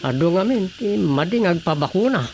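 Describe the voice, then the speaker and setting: A man playing a chatty, opinionated husband in a radio drama comedy, with an Ilocano accent and a gravelly voice. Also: sits high, animated, moderate pace, loud music behind the voice. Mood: musing.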